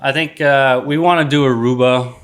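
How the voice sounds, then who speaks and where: A man in his thirties, a roofer talking casually on a podcast, laid-back and quick to laugh, swearing loosely. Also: mid-range voice, fairly even intonation, clear, resonant tone. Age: 30s. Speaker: roofer guest.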